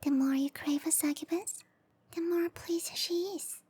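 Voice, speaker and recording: High, whispering seductive matchmaker played by a woman. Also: fairly even intonation, a little slow, mellow. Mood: sultry, informative. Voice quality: breathy whisper. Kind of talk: whispered ASMR roleplay.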